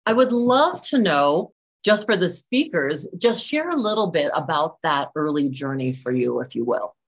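A woman's voice: low-pitched, big pitch swings, normal speed, breathy edge. Enthusiastic woman hosting an interview. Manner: enthusiastic, effusive. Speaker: podcast host.

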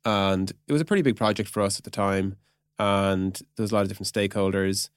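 Fast-talking low-voiced man explaining casually. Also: fairly even intonation, smooth, dry recording, studio quality. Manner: informal, peppers speech with "like" and "you know". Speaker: podcast guest, product executive.

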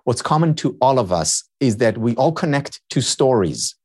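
A man's voice: medium pitch, sing-song, normal speed, darkish tone, slightly rough. A man whose first language is Hebrew, a guest on a podcast who explains his ideas in a lecturing way and builds each point through repetition. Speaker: podcast guest, happiness researcher and teacher. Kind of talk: explanatory interview talk.

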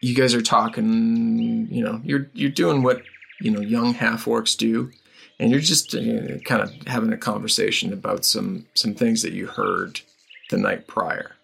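Slow, gravelly game master. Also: male voice, fairly low pitch, steady tone, fairly bright tone, faint music behind. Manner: unhurried, halting narration. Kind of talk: narrating a tabletop game.

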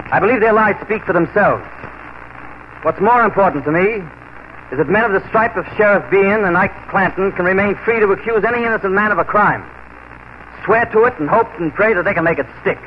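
A man's voice: high-pitched, fairly quick, slight background noise, lightly acted. A man acting a tough, defiant Old West marshal, firm and resolute, in a 1940s radio western. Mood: grave, indignant. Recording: tinny, narrow-band 1940s radio recording.

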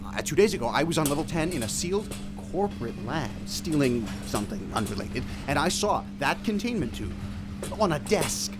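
An actor playing a nervous, stammering thief who is eager to make a deal. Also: male voice, fairly high pitch, big pitch swings, moderate pace, music in the background. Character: nervous young male thief. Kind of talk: audio drama acting.

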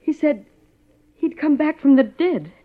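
A muffled vintage radio recording of an anxious, guarded wife. Her voice is fairly high and moves expressively, with formal, dramatic phrasing. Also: animated, unhurried. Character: anxious, guarded married woman in a detective story. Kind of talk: 1950s radio drama acting.

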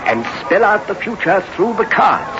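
A genteel, bookish village vicar, polite and reserved. His pitch moves widely, in 1960s radio acting on a muffled old recording. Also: big pitch swings, normal speed, background noise. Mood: uneasy, solemn.

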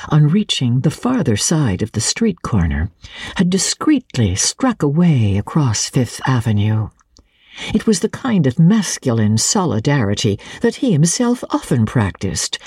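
Very husky woman reading dramatically. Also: a bass voice, warm tone, dry recording, studio quality. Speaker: audiobook reader. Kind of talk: dramatic literary narration.

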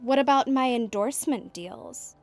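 An actress playing a spoiled young former child star in an audio drama, with an entitled, petulant manner. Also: female voice, high-pitched, moderate pace, a little mellow, faint music behind. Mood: demanding. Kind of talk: scripted audio drama acting.

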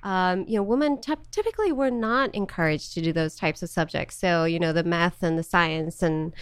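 A woman's voice: fairly low pitch, moderate pace, smooth, no echo, clean studio sound. A middle-aged woman from South Africa, talking casually as an interview guest with frequent fillers and laughs. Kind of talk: conversational interview.

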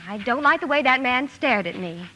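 A woman's voice: high voice, animated, normal speed, bright, forceful tone, slight background noise. A woman playing a proper, earnest young lady in an old radio drama, speaking with careful articulation. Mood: fretful, prim. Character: proper, fretful teenage elder sister, recalling her girlhood. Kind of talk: 1940s radio drama acting and storytelling. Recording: old radio broadcast with orchestral music.

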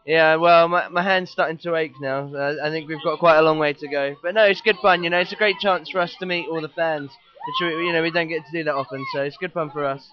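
A man's voice: on the high side, steady tone, fairly quick. A young man, a pop band member, answering interview questions in an upbeat, casual way. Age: young adult. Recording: dull archive recording in loud crowd.

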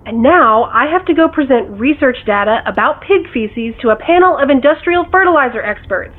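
An actress playing a blunt, exasperated but caring woman scolding her partner over a phone in an audio drama. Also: on the high side. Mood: weary, pleading. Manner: blunt, reproachful. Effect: phone call filter. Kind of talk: naturalistic audio drama dialogue. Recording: thin, band-limited phone line.

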